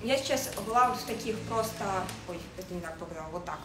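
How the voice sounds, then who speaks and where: A woman in her thirties giving a casual livestream style lesson as a stylist, speaking haltingly with searching pauses. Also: mid-range voice, expressive. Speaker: fashion stylist hosting a livestream. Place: indoors in a home living room with a desk. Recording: echoey room with faint noise.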